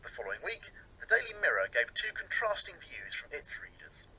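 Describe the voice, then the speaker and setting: Bright, forceful radio man. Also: medium pitch, sing-song, a little fast, husky, poor audio. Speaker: radio reporter and presenter. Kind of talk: radio feature narration.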